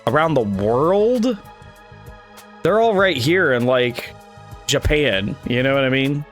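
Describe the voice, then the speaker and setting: A man's voice: mid-range voice, animated, slow. Man reading a game aloud over music. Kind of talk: casual playthrough narration with asides. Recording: game music playing behind the voice. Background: video game music.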